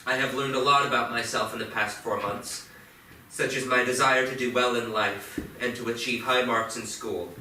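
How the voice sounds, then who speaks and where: A man in a staged reading playing a remorseful teenage boy, speaking earnestly and deliberately. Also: mid-range voice, fairly even intonation, sharp tone. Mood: nervous remorse. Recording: echoey theatre, far mic, faint noise.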